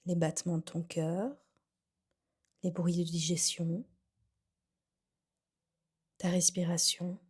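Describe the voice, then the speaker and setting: A woman guiding a relaxation session as a yoga nidra instructor, soothing and unhurried, with phrases that trail off softly. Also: fairly low pitch, little pitch movement, slightly rough. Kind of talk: guided meditation, calm instruction.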